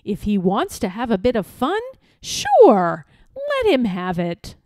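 A woman's voice: fairly high pitch, huge pitch swings, takes it slowly, a little mellow, dry recording, studio quality, heavily acted. A woman voicing easygoing, approving townsfolk in a storybook, in a gossipy, indulgent manner.

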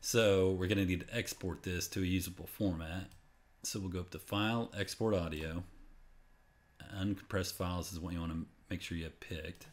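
Guy with a deep voice doing a casual screen recording how-to, explains stuff step by step. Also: gravelly. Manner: informal, unscripted, step-by-step explaining. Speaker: tutorial presenter. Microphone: voice-over on a screen capture.

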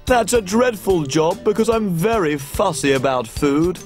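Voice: mid-range voice, expressive, unhurried, faint music behind, pitched below the performer's own voice, natural voice. A performer putting on a fussy queen who complains about her food. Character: fussy sweet-toothed queen.

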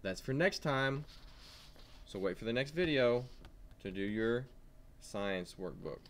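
A man teaching kindergarteners with an animated read-aloud, asking simple questions as he goes. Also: medium pitch, slow. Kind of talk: read-aloud lesson for young children.

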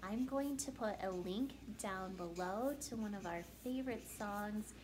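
A woman in her thirties reading aloud and talking to young children like a teacher, in an expressive, deliberate, sing-song manner. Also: medium pitch, background noise. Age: 30s. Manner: slow, deliberate, animated teacher delivery addressing children. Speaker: first grade teacher hosting a children's story time. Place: living room at home, indoors.

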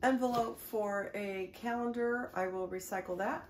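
A middle-aged woman talking casually to herself as she sorts and clears a cluttered dining room table. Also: mid-range voice, a little slow. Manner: relaxed, muttering to herself while sorting. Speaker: vlogger. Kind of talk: casual narration while decluttering. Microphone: camera across the room. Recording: echoey room with background noise.

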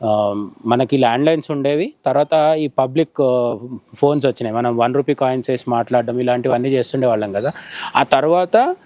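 A man's voice: medium pitch, a little fast, warm tone, dry recording. A middle-aged man with a Telangana Telugu accent giving conversational news analysis as a journalist, explaining by posing questions and answering them. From India. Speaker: journalist interviewed as commentator.

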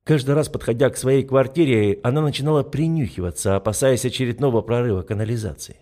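A man's voice: medium pitch, fast, warm tone. A man reading fiction as a scripted audiobook narration.

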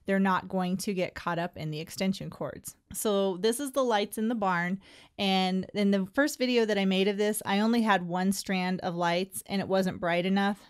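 A woman's voice: fairly low pitch, clean tone. A middle-aged woman who keeps horses, talking through her barn work in a plain, friendly, explanatory way. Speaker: horse owner vlogger. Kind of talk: casual how-to narration.

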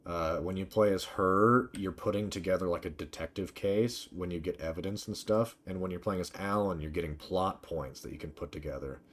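A man's voice: low voice, a little fast, few pauses. A man streaming a video game with casual, sweary commentary, reacting and thinking aloud. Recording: game ambience noise behind voice. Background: video game ambience.

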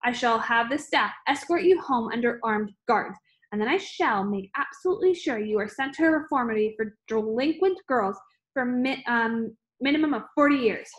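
A woman's voice: a little high, expressive, normal speed, close to an everyday voice. A woman voicing a furious, bullying headmistress who roars and rants her threats while reading a storybook aloud. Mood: raging. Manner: roaring, ranting threats.

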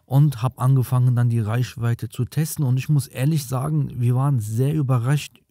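A man's voice: mid-range voice, steady tone, moderate pace, warm tone. A man in his thirties hosting a product review, explaining things in a casual, colloquial way. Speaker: tech reviewer, video host.

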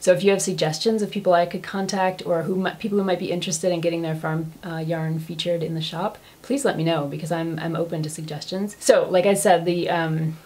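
A woman's voice: lowish voice, fairly even intonation, fairly quick, hardly any pauses, a little mellow. An American woman in her thirties chatting enthusiastically to camera in a casual, gushing way, as a craft vlog host. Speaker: knitting vlog host and yarn shop owner. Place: small furnished room at home.

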